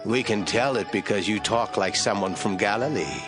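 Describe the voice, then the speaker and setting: A man's voice: on the low side, moderate pace, over music, lightly acted. An actor playing a firm, suspicious male bystander making accusations in a scripture audio drama. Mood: accusing.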